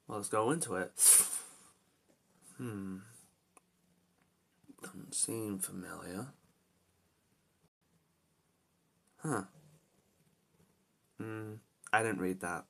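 A man's voice: fairly low pitch, slow pace, creaky, pauses often, a bit bright, exaggerated voice. A man voicing an anxious, protective young adventurer in amateur character lines, talking to himself with dramatic exclamations. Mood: wary, musing. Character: anxious, reluctant young adult male adventurer hero, protective.

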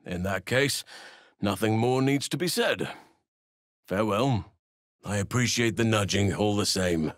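Kindly earnest druid from a fantasy game, lowish voice, courtly wording, dry studio sound. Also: male voice, expressive, no room sound. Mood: tender, sincere. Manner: formal, measured, courtly phrasing. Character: gentle, earnest male druid, protective guardian of children.

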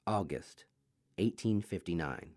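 A man playing a formal announcer in a radio drama, giving short, measured announcements. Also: low-pitched, expressive, a little mellow, no room sound, clean studio sound. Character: male announcer of letter dates.

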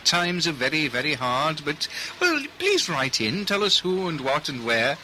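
Man playing an exuberant, bright-voiced 1920s radio announcer. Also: on the high side, sing-song, unhurried, a little hiss or hum, heavily acted, rough recording. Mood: wistful, chatty. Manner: rambling, theatrical, playfully hesitant. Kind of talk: radio play acting as a 1920s broadcaster.

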